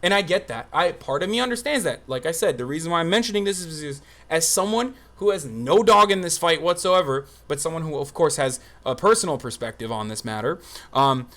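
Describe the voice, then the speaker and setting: A man's voice: a little high, lively intonation, brisk, a bit bright. A man doing unscripted livestream commentary, emphatic and argumentative, with casual swearing. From Turkey. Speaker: streamer and political commentator.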